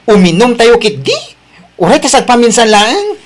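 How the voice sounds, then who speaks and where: A man's voice: very high voice, very animated, normal speed. A man playing a breezy, teasing office coworker in an Ilocano drama, with an Ilocano accent. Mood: relaxed, teasing. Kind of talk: Ilocano radio-style drama acting.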